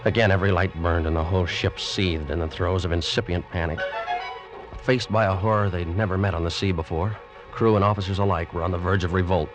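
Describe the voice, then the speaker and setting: An actor playing a guilt-ridden young seaman who narrates his terror in a grave, deliberate old-time radio style. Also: sits low, fairly even intonation, close to an everyday voice, low-quality recording. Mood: grim. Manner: slow, deliberate, intense dramatic delivery. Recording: vintage radio drama with music behind. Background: dramatic music.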